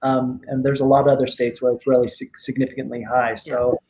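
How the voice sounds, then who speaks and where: A man co-hosting a talk show, explaining in a thoughtful, hedging way with many ums, his throat slightly rough. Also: medium pitch, flat delivery, fairly quick, mellow, slight background noise, low-quality recording.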